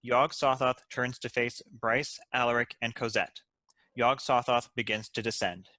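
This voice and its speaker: A man reads screenplay stage directions in a crisp narrator role. His voice is mid-pitched and very bright, recorded dry and close. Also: fairly even intonation, measured pace, no room sound. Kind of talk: scripted narration.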